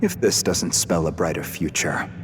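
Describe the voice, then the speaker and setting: A man's voice: sits low, expressive, moderate pace. A man playing a ruthless, zealous human warrior in a video game, contemptuous and commanding, with music behind him. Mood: smug conviction. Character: ruthless, zealous human man who despises demons and weakness. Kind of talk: dramatic video game voice acting. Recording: loud game music underneath.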